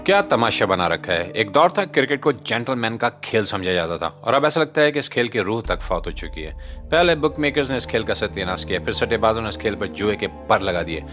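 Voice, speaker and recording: A man's voice: lowish voice, expressive, fairly quick. A man with a Pakistani accent delivering scripted satirical commentary in a sarcastic, rhetorical manner. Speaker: satirical commentator, channel host. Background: music.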